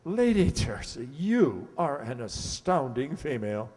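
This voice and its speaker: An actor playing a flustered, money-worried English lord in a radio play, husky and stammering with exclamatory bluster. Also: male voice, medium pitch, sing-song, measured pace, darkish tone. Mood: flustered, dismayed. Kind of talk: old-time radio drama acting. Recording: echoey hall, long reverb.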